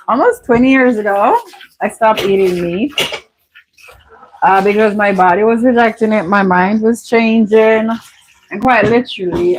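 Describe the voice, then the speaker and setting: A middle-aged Jamaican woman talks through her cooking in a casual, candid way. Her pace is slow and her pitch sits mid-range, with a playful manner. She is recorded in a home kitchen with some faint noise behind her. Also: rough recording. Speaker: cooking vlog host. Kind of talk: casual chatty cooking commentary.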